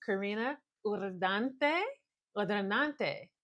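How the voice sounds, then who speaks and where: A woman's voice: medium pitch, animated, slow pace, muffled recording. A woman co-hosting a mindfulness talk show, reflecting in a rambling, thoughtful way with many restarts. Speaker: co-host. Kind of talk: casual conversational reflection.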